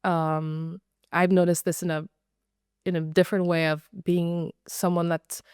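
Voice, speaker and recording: An American woman hosting a podcast, asking questions in a relaxed conversational way and often affirming with "yeah". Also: lowish voice, a little slow, smooth, dry recording, clean studio sound. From United States. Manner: warm affirming listener, frequent "yeah". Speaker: podcast host. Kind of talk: conversational interview.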